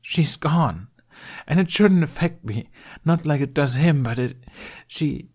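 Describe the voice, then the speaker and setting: A man voicing a grieving, hesitant teenage boy apprentice in a story, speaking haltingly. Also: a little high, darkish tone, slightly rough, pitched above the performer's own voice, heavily acted. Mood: tearful, distraught. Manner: halting, faltering. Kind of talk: storybook character voice.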